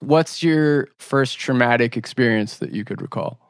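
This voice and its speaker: A man's voice: medium pitch, normal speed, clear, resonant tone, dry recording, studio quality. A man hosting a podcast interview, speaking conversationally in a thoughtful, articulate way.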